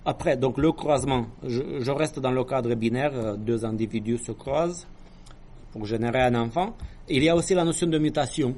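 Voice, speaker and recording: A man's voice: mid-range voice, moderate pace, a little mellow. Man lecturing with apologetic asides. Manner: explanatory, asides and apologetic quips. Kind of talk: university lecture.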